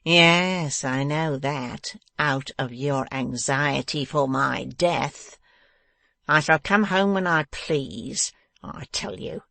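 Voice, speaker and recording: A man voicing a malicious male dwarf villain in a storybook, taunting and domineering, with slow emphatic menace. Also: a little high, vocal fry, sharp tone, dry recording, exaggerated voice. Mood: mocking, menacing. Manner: menacing, emphatic, drawn-out delivery.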